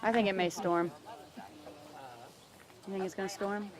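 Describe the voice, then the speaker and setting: A woman's voice: mid-range voice, steady tone, a little slow. A woman chatting casually and asking questions at a house party. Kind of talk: casual chat. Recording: loud party chatter around the voice.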